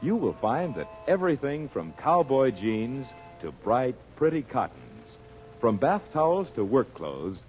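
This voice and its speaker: A man's voice: medium pitch, a little mellow. An American man announcing and reading adverts in a 1940s radio style. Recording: dull vintage radio recording.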